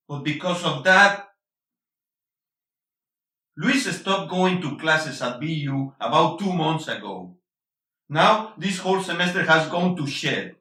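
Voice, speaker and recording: Echoey, forceful angry husband acted by a man. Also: a little high, full character voice. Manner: confrontational, emphatic, swearing, switches between English and Spanish. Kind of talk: intense stage-play reading. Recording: echoey room, distant mic.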